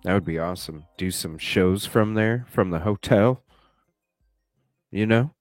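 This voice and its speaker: Male streamer with a very deep, stuffy voice, chatty and loose, faint music under him. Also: a little slow, dry recording. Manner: chatty, thinks aloud, swears casually. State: stuffy nose. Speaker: live streamer. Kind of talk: casual chat reacting to a video. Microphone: desk microphone with webcam.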